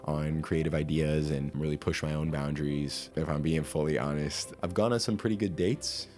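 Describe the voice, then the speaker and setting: A man's voice: extremely low pitch, steady tone, moderate pace, a little mellow, faint music behind. A twenty-year-old man answering interview questions about himself in a casual, candid, self-deprecating way.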